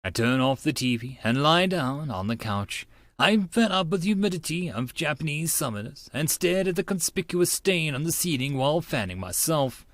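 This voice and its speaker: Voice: mid-range voice, animated, moderate pace, a bit bright, dry recording, clean studio sound. An audiobook narrator giving a theatrical, emphatic first-person reading of an anime-style story. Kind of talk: dramatic first-person reading of a visual novel.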